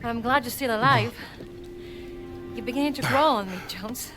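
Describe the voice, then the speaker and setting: A woman's voice: on the high side, normal speed, music in the background, natural voice. An actress playing a determined, feisty woman companion in a cinematic adventure game. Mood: relieved, teasing.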